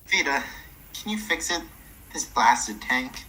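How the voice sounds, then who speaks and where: A woman's voice: extremely low pitch, animated, slow, sharp tone, full character voice, poor audio. A woman playing an ailing, chatty elderly neighbour in a radio play, with a folksy, motherly, fussing manner. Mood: distressed. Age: older.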